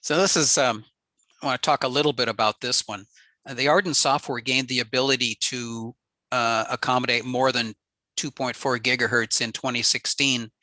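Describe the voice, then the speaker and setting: A man giving a relaxed technical slide talk on a radio network, explaining with frequent ums and uhs. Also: mid-range voice, normal speed, no echo. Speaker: ham radio presenter.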